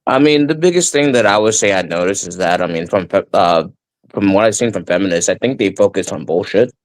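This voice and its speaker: A man's voice: sits low, expressive, fairly quick, no echo, poor audio. A man from Washington, D.C. with a slight Boston accent, calling in to a show and speaking bluntly with fillers and some swearing. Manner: blunt and profane, hesitant with many fillers. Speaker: caller. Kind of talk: casual call-in chat.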